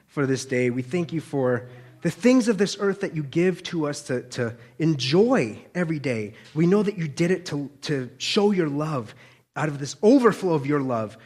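Male preacher, slightly high voice, colloquial, conversational sermon delivery with frequent check-in questions. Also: a little mellow.

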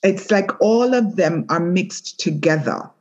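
A middle-aged woman, a former prosecutor and judge, speaking earnestly and in a measured way in an interview. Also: on the low side, lively intonation, a little mellow, lo-fi sound. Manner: earnest, measured, with repeated restarts. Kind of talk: reflective interview talk.

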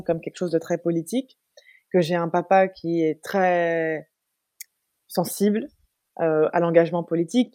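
A young woman from France, a guest talking in a casual interview, reflective and thoughtful, pausing often with fillers and restarting her sentences. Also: lowish voice, mellow, dry recording, studio quality. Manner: reflective, many hesitations and restarts.

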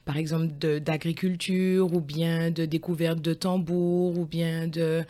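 A woman's voice: sits low, flat delivery, a little slow, warm tone. A woman in her forties, a guest on a podcast, answering thoughtfully with many hesitations and restarts. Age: 40s. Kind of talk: conversational interview.

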